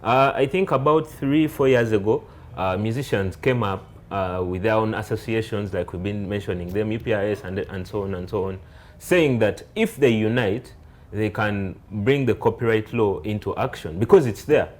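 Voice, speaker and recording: A man hosting an interview, asking questions conversationally in a somewhat hesitant, halting way. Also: on the low side, a little mellow. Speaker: interviewer, host.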